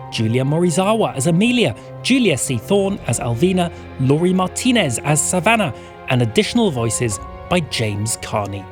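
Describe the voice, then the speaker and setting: A man presenting a podcast, reading scripted announcements and credits. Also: a little high. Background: music.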